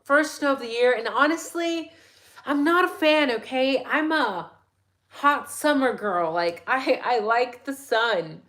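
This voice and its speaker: A woman hosting a casual true crime livestream, chatty and excitable, her voice a little raspy today. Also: sits high, slow pace. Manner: chatty, excitable, many "like"s and "right?"s. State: slightly raspy voice.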